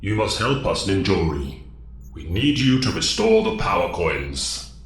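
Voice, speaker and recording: A man playing a weary, solemn alien mentor in an audio drama, speaking gravely with an echo on his voice. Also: deep voice, very animated, unhurried. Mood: grave pleading. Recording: echoing, reverberant voice.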